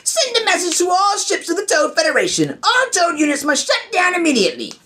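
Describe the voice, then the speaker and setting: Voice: very high voice, huge pitch swings, fairly quick, pushed and bright, voice pushed up, exaggerated voice. A performer voicing a gloating evil galactic overlord in a parody audio play, proclaiming orders theatrically and imperiously. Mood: commanding.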